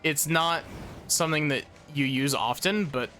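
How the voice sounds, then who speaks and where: A man casually commenting on his gameplay stream, thinking aloud, his voice slightly hoarse. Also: on the high side, measured pace, faint music behind. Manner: relaxed, chatty, thinking aloud.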